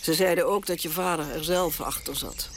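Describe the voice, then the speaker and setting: A woman's voice: low-pitched, moderate pace, a little hiss or hum. An actress playing an elderly, stubborn queen speaking to her granddaughter, dry and imperious. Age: older.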